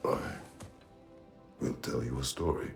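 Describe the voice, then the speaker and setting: A stern, weathered warrior father from a video game, speaking slowly in few words. The voice sits at the very bottom of the register, crackling with heavy gravel. Music swells clearly underneath him. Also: full character voice. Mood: stern, gentle. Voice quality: gravelly, very deep. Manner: slow, terse, commanding. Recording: game score behind.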